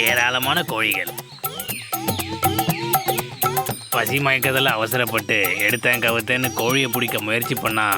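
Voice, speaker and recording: A man plays a sly, hungry cartoon predator in a children's story, posing as a remedy seller. He schemes and hawks in a wheedling sing-song at an ordinary male pitch. Loud music plays behind him. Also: lightly acted. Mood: scheming.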